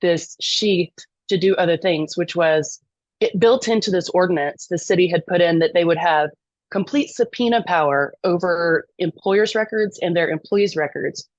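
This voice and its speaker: A woman's voice: on the low side, moderate pace, dry recording, dull audio. Chatty middle-aged Texan woman. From East Texas. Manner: chatty, self-deprecating, lots of ums. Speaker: lobbyist guest. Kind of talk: podcast interview.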